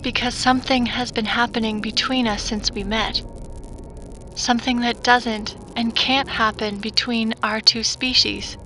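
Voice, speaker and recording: High-voiced, solemn synthetic woman played by an actress. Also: fairly even intonation, a little slow, background noise, natural voice. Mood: tender, sorrowful. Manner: earnest, solemn. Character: devoted, grave female synthetic human in love. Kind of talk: sci-fi audio drama acting.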